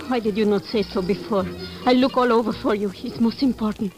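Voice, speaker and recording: A woman's voice: fairly high pitch, darkish tone, close to an everyday voice. Actress as an intense Spanish-accented young woman. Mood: coy, then earnest. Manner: formal, halting foreign phrasing. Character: young, intense, alluring Latin American dance-hall woman. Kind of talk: 1940s radio drama acting. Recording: old radio broadcast recording, music behind.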